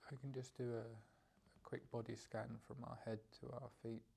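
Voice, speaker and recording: A man leading a guided meditation as its teacher, speaking in unscripted, hesitant phrases with gentle pauses. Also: mid-range voice, level tone, gravelly, slightly rough.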